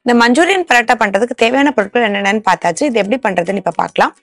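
A woman's voice: on the high side, animated, very fast, dry recording. A woman in her thirties hosting a cooking show, explaining each step plainly from a studio kitchen.

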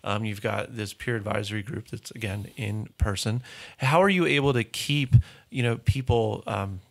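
A man's voice: on the low side, normal speed, vocal fry. A male podcast host interviewing a guest in a studio, who laughs often and asks questions with short affirming prompts. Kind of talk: conversational interviewing. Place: dark studio set. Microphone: studio boom mic.

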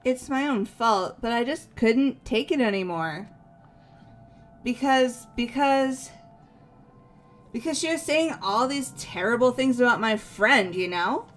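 A woman's voice: a little high, animated, measured pace. Woman as an earnest, emotional teenage girl. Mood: upset. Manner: hesitant, sincere. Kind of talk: video game character voice-over. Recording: music bed behind voice.

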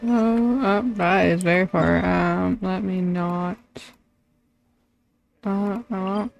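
A woman streamer giving casual, halting, offhand commentary while playing a game. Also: on the low side, steady tone, vocal fry, muffled recording, faint music behind.

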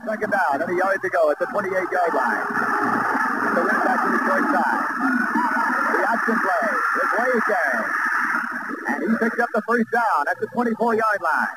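A man's voice: high voice, lively intonation, moderate pace, loud music behind the voice. An American man calling live college football play by play as a sports announcer on an old TV broadcast. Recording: very noisy old 1960s TV broadcast.

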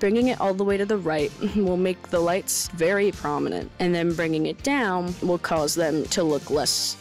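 A young woman presents a tutorial in an informal, slightly wry way. Her voice sits a little low for a woman. Music plays behind her. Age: young adult. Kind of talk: video editing tutorial narration. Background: background music.

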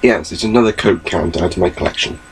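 A man's voice: low voice, fast, slight background noise. A young man giving a rambling, chatty webcam update from his small bedroom, recorded on his laptop mic. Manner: rambling, chatty, gestures a lot. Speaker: vlogger.

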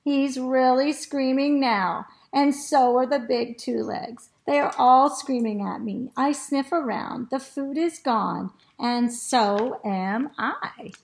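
A woman voicing an excitable, greedy male dog in a children's storybook, with exclamations and drawn-out words. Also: sits high, creaky, pitched above the performer's own voice, full character voice. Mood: breathless excitement. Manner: exclamatory, drawn-out words and short repeated sniffing phrases. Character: excitable, greedy male dog narrating his food adventures. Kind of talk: expressive children's storybook reading.

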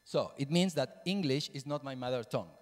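A man in his forties with a Spanish accent, a native Spanish speaker, giving a halting conference presentation into a handheld microphone, repeating words for emphasis. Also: mid-range voice, animated, a little mellow. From Spain. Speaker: Spanish teacher and plenary speaker. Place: lecture hall, indoors. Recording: reverberant lecture room.

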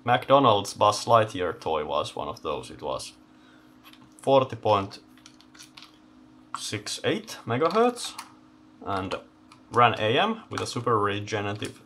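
A man giving unscripted hands-on commentary as he takes electronics apart, halting and thinking aloud in short phrases. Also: on the low side, big pitch swings, a bit bright, slight background noise. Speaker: host of electronics teardown videos. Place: indoor electronics workbench.

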